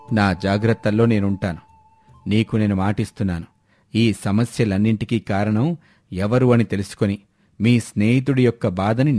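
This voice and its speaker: A calm, determined village man, played by a male actor in a moral story. His voice is low and his delivery measured and reassuring, with soft music underneath. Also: lightly acted. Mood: resolute, reassuring. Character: calm, determined village man who helps troubled friends. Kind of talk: dramatised moral story dialogue.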